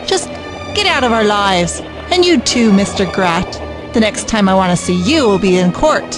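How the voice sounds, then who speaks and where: An actress playing an angry, protective mother, sharp and accusing. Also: medium pitch, expressive, normal speed, over music, natural voice.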